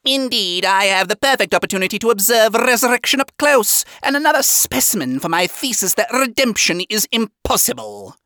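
A woman's voice: on the high side, lively intonation, fairly quick, hardly any pauses, sharp tone, no echo, studio quality, pitched above the performer's own voice. A woman voicing an eccentric male scientist in a story, speaking in a pompous, condescending manner.

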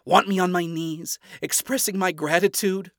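A man's voice: sits high, fairly even intonation, normal speed, fairly bright tone, no echo, studio quality, pitched above the performer's own voice. A man voicing a proud, irritable devil father in a story, speaking in a wounded, defensive way. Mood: grudging. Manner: indignant, defensive.

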